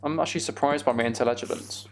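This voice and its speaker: Gravelly man narrating gameplay. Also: mid-range voice, fast. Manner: self-deprecating, musing aloud. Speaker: gaming video host. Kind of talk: casual gameplay commentary.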